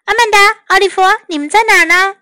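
Woman acting a firm, high-voiced storybook mother. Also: lively intonation, unhurried, a bit bright, no room sound, studio quality. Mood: concerned, firm. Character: firm but good-humored storybook mother. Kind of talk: children's storybook character reading.

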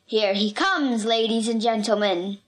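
A woman voicing a bombastic male circus ringmaster from a storybook, announcing grandly with drawn-out words and a short temper. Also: on the high side, soft background music, natural voice. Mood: grand, showy.

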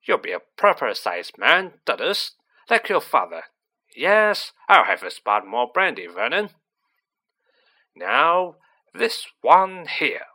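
A man's voice: fairly high pitch, big pitch swings, takes it slowly, pushed and bright, full character voice. A man voicing a boorish, snobbish middle-aged aunt in a storybook, with a blustering, contemptuous delivery. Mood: condescending. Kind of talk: storybook character voice.

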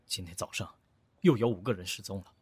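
A man playing a grave, protective character in a fantasy audio drama, with a husky voice and subdued, persuasive manner. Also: low-pitched, fast talker, darkish tone, no room sound. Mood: grave, pleading.

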